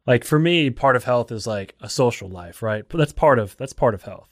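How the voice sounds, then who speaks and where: A man's voice: medium pitch, expressive, moderate pace, dry recording. A man hosting a casual podcast conversation, asking questions in a loose, conversational way. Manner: conversational, questioning, with many "like" fillers.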